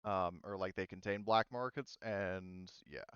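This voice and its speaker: A man's voice: sits low, a little slow, fairly bright tone, smooth, dry recording, lo-fi sound. A man running an online tabletop roleplaying game as game master, talking casually in a hesitant, rambling way with trailing thoughts.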